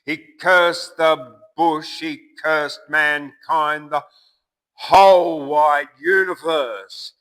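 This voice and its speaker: An Australian man reciting a bush ballad theatrically, swinging between loud emphasis and hushed line endings. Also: a little high, steady tone, drawn-out words, clean tone. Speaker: poetry reciter and channel host.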